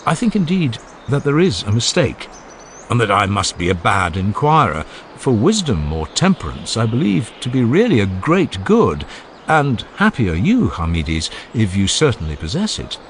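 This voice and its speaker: A man narrating a dramatized classical dialogue in a formal, measured and expressive oratorical manner. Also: lowish voice, slight background noise. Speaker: audiobook narrator. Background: ambient sound effects.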